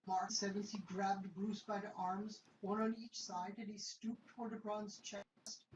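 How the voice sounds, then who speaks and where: A woman narrating a science-fiction story in an amateur radio play reading, with a steady storytelling delivery. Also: mid-range voice, a little slow, roomy sound, dull audio, a little hiss or hum.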